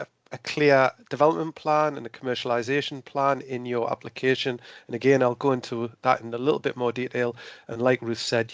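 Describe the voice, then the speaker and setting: A man with a cold, sounding a little hoarse, giving a hesitant, informal webinar presentation over a video call. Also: medium pitch, dry recording. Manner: hesitant, many fillers and restarts.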